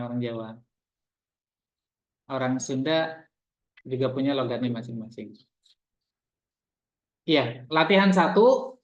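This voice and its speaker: A man teaching Arabic in a classroom, chatty and repetitive, quizzing his students as he goes. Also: mid-range voice. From Indonesia. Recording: echoey room, dull lo-fi sound.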